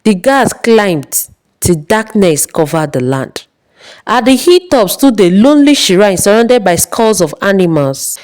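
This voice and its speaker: A woman narrating a dramatic folktale in a solemn, suspenseful and measured way. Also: mid-range voice, very animated, darkish tone. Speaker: storyteller.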